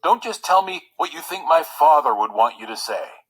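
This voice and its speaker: A pampered, self-doubting young prince from a storybook, acted out by a man reading aloud. He sounds sorrowful and sulky, exclaiming plaintively with animated pitch and a slightly rough, husky tone. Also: normal speed, low-quality recording. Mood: sorrowful, pleading.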